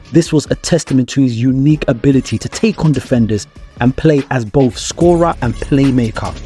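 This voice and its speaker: Mellow-voiced man narrating sports analysis over music. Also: medium pitch. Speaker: video narrator.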